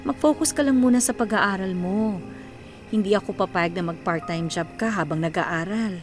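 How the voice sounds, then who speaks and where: Woman acting as caring mother, gently firm, hardworking widow, radio drama, music behind. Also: medium pitch, normal speed, lightly acted.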